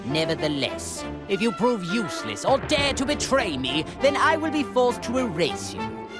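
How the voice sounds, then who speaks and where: Cruel alien emperor, mock-polite, condescending, highly animated pitch, video game dub, loud music behind. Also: male voice, moderate pace. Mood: coldly threatening. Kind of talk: English anime game dub acting.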